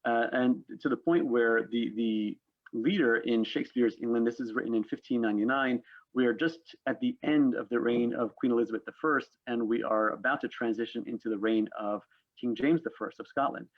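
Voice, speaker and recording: A man's voice: medium pitch, steady tone, a little fast. Male panel host, slightly dull sound. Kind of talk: panel discussion, explaining history.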